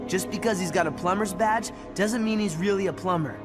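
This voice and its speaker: An actor playing a cocky teenage gearhead in a game cutscene, with a blunt, sarcastic, offhand delivery. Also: male voice, high voice, a little fast, music in the background, lightly acted. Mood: sarcastic, distrustful. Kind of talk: animated game cutscene acting.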